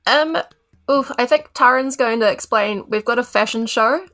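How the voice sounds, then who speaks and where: A woman's voice: fairly high pitch, normal speed, sharp tone. A woman with a New Zealand accent, talking casually as a player improvising in a tabletop game. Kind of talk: casual improvised roleplay chat.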